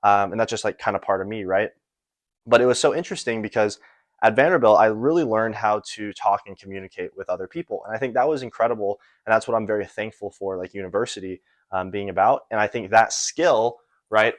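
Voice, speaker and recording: A man's voice: on the low side, no echo. A young American man talking casually and unscripted like a business coach, rambling a little and often checking in with the listener. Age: young adult. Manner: conversational, rambling, often tags phrases with "right?". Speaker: online business and sales coach. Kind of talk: casual unscripted motivational explainer to camera.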